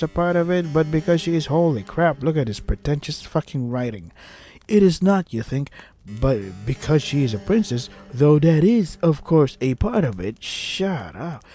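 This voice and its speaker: Bright-voiced man, sarcastic gaming commentary over music. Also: a little high. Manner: foul-mouthed, sarcastic, mocking asides. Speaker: let's play host reading a visual novel aloud. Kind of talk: gaming commentary mixed with reading aloud.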